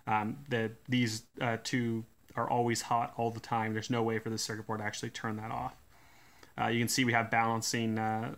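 Bright-voiced man explaining a teardown. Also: medium pitch. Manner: relaxed, many "uh" fillers, technical detail. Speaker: DIY tool reviewer. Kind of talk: casual hands-on teardown talk. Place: indoors at a workbench.

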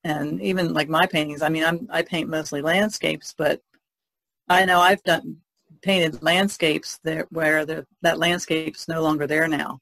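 A woman talks casually as a podcast panelist, thinking her way through in halting phrases. Her voice is creaky and recorded very dry. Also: low voice, steady tone, fairly bright tone, dry recording. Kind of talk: casual discussion.

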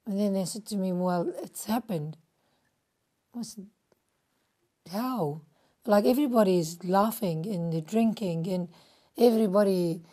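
Mellow-voiced middle-aged Jordanian woman. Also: medium pitch. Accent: Jordanian Arabic. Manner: reflective storytelling, pauses with fillers. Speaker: interviewee. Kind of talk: documentary interview. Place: indoor living room.

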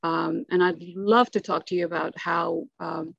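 A middle-aged woman from Sudan whose first language is Arabic, speaking earnestly on a panel and filling her pauses with "um" and "uh". Her voice sits fairly low for a woman. The video-call audio is dry and a little dull. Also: fairly even intonation, gravelly, no room sound. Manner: earnest, many fillers.

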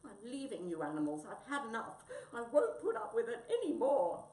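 A woman's voice: low voice, sing-song, normal speed, gravelly, darkish tone, full character voice. A woman voicing a proud, conceited, indignant male tabby cat in a storybook, with theatrical huffiness. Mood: bitter. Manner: haughty, huffy, theatrical. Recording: roomy, distant mic, faint noise.

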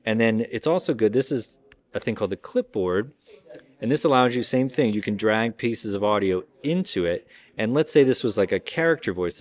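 Male demonstrator, lowish pitch, conversational and explanatory, informal product walkthrough. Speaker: audio software company representative giving a demo.

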